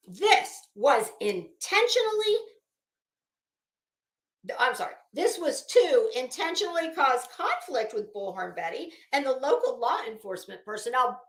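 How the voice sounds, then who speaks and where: A woman's voice: high-pitched, a bit bright. A woman giving casual, sarcastic commentary as a livestream host, with a theatrical, exasperated and mocking delivery. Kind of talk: casual commentary on a legal case, chatting with viewers. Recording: echoey room.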